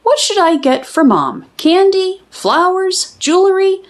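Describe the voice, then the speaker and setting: A woman's voice: extremely high pitch, lively intonation, unhurried, pitched above the performer's own voice. A woman voicing an affectionate, teasing father in a children's storybook, explaining things playfully.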